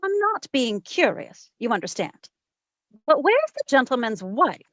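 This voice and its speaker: A woman playing a sharp, wry private investigator in an old-time radio detective drama, narrating and trading teasing endearments. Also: high-pitched, big pitch swings, a little slow, no echo, muffled recording, exaggerated voice. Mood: brisk, composed.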